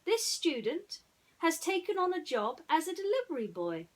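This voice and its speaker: A woman's voice: extremely high pitch, sing-song, normal speed, voice pushed up. A woman voicing a courteous, level-headed male mouse, a detective's helper in a bedtime story, speaking politely and with assurance. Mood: pleased, assured.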